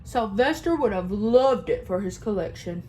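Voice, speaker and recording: A woman voicing a grieving father in a storybook, speaking earnestly and gently. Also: medium pitch, lively intonation, moderate pace, a little hiss or hum, close to an everyday voice. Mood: delighted. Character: sad, hopeful father.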